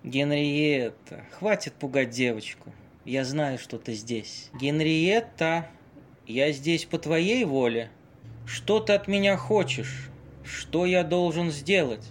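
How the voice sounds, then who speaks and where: A man acts out a worldly, theatrical Venetian seducer for an audio play. His voice sits a little high for a man, and he delivers lines dramatically, with weighty pauses, like a seasoned storyteller. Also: expressive, a little hiss or hum. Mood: solemn, commanding. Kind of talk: amateur audio play acting.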